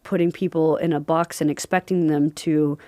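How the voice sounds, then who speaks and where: A woman's voice: low voice, fairly even intonation, normal speed, mellow, no echo, clean studio sound. A woman hosting a podcast in casual conversation, enthusiastic and affirming, leaning on "I feel like" and "you know". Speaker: podcast host.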